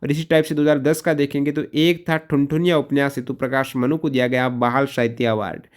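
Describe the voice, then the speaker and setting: A man's voice: mid-range voice, level tone, fairly quick. A man teaching an exam-prep lesson question by question, posing questions and repeating key answers in an instructional way.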